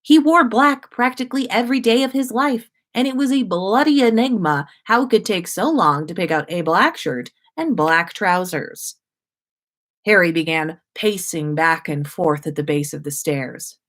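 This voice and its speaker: A woman's voice: medium pitch, normal speed, a bit bright. A woman host narrating a story expressively, as if reading aloud to listeners on a livestream.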